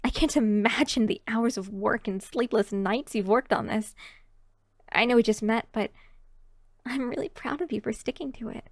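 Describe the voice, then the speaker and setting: A woman's voice: on the high side, moderate pace, no room sound, studio quality. A woman voicing a shy, cheery young fox-woman barista in an ASMR roleplay, chatting to the listener in a hesitant, giggly, encouraging way. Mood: earnest, encouraging.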